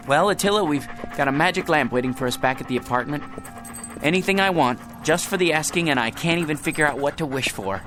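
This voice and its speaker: A man's voice: on the high side, noisy background. A timid, stammering everyman. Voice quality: soft, hesitant, stammering. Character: timid down-on-his-luck everyman with a dog. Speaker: lead actor. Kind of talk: radio drama acting. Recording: studio radio play with music underneath.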